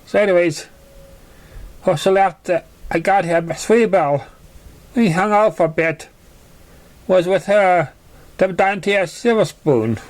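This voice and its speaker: A man voicing a young orphaned pony girl, wounded but plucky, telling her story haltingly in an amateur fan fiction reading. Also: high voice. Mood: recounting, subdued.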